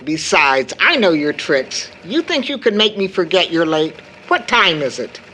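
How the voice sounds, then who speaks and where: A woman's voice: fairly low pitch, big pitch swings, a little slow, sharp tone, slight background noise, full character voice. A middle-aged woman acting a tired, stern but fond mother in a period audio drama, speaking with proper, precise, scolding phrasing. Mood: fondly scolding.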